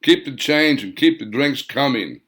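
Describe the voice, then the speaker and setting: A man's voice: mid-range voice, animated, unhurried, fairly bright tone, pitched above the performer's own voice. A man reading the part of an irritable, domineering, hard-drinking man with a curt, barking delivery in an audiobook. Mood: barking, irritated.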